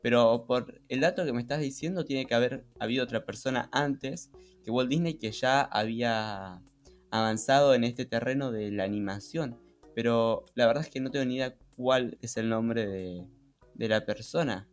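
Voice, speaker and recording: Man, Rioplatense accent, medium pitch, casual podcast co-host, conversational, faint music behind. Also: moderate pace. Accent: Rioplatense Spanish. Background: background music.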